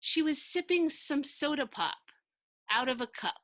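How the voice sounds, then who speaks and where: A woman reading a children's picture book aloud in an expressive, theatrical, sing-song way with emphatic rhyming delivery. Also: high voice, a little slow, no room sound. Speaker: storybook narrator reading aloud.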